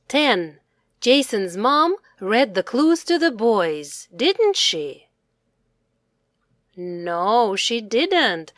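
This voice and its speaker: A woman presenting a quiz for young children, asking questions and answering them in a deliberate, carefully enunciated, teacherly manner. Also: a little high, dry recording, studio quality.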